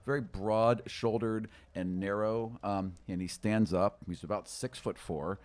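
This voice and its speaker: A man's voice: on the low side, steady tone, measured pace, darkish tone. A middle-aged man improvising scene narration as a game master, colloquial and vivid, close on a studio microphone. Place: small indoor podcast studio. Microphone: close podcast microphone on a stand.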